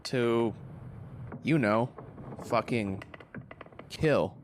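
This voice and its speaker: An actor playing a crude, menacing man aboard a ship in an audio play, talking in a halting, offhand way. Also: medium pitch, slight background noise. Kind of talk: theatrical audio play acting.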